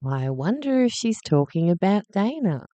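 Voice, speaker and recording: A woman's voice: mid-range voice, sing-song, moderate pace, dark tone, dry recording. A woman chatting wryly as she hosts and comments on clips. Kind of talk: casual commentary vlog.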